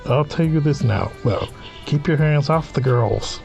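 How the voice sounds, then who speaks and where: A man's voice: fairly high pitch, a little slow, creaky, darkish tone, faint music behind. Man reading as a matter-of-fact, advising mother. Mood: cautioning. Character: caring, teasing mother on a phone call.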